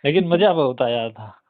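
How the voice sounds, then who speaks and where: A man's voice: on the high side, expressive, a little slow, no room sound. A young man, chatting casually as a private tutor over the phone. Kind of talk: casual chat. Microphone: phone call. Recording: dry, slightly tinny phone call recording.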